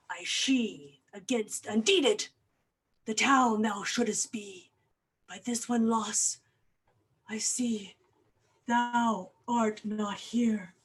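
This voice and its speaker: A woman's voice: medium pitch, husky. A woman playing an anguished, obsessed doctor hunting a killer, intense and declamatory in a mock-Shakespearean staged reading.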